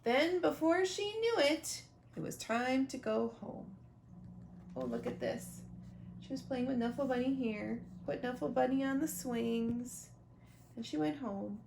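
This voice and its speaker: Animated, slow-paced woman reading a storybook. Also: sits high, vocal fry. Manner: expressive, talks directly to young listeners about the pictures. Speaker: librarian or teacher reading a picture book aloud. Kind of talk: animated children's storybook read-aloud with asides. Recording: roomy echo, faint background noise.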